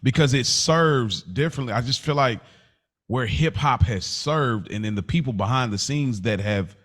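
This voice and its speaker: American guy on a podcast, slangy casual chat, candid and kinda reflective, mid pitched voice. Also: moderate pace, fairly bright tone. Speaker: podcast co-host. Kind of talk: casual conversation.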